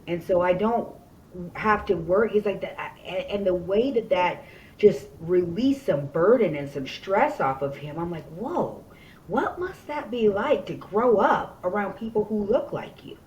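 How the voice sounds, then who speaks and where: A woman's voice: medium pitch, lively intonation, measured pace, darkish tone, muffled recording. A woman hosting a podcast interview, talking earnestly and conversationally as she shares personal stories and asks questions. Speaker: podcast host. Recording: distant mic, faint background noise.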